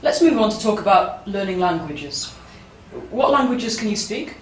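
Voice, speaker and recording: A woman's voice: mid-range voice, expressive, normal speed, a little hiss or hum. A woman working as a speaking examiner, putting short scripted questions to a candidate. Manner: brief, procedural questioning. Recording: echoey room, mic far off.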